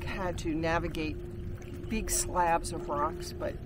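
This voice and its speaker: A woman's voice: sits low, fairly even intonation, takes it slowly, heavy background noise. A middle-aged woman chatting cheerfully outdoors on a hike, pointing out trees and describing the trail. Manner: chatty and upbeat, pointing out plants. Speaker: hiking companion. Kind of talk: casual chat. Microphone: camera held by someone else.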